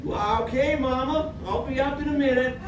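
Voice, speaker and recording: A man's voice: very high-pitched, moderate pace. A man on a theatre stage playing a bitter, weary tinkerer accused of a crime, in a live radio-play style. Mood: wary, dismissive. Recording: echoey theatre, distant mic, noisy background.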